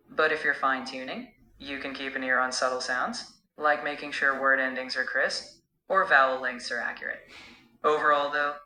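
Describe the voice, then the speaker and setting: Male AI tutor, very bright sharp tone, roomy sound, light noise, measured coaching. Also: medium pitch. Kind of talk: encouraging spoken feedback.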